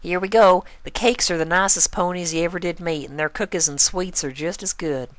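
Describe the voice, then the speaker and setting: A woman's voice: fairly low pitch, brisk, dry recording, pitched above the performer's own voice, close to an everyday voice. A woman voicing a friendly, forthright young farm mare in a cartoon story, with a Southern US accent. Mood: neighborly. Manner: folksy, forthright.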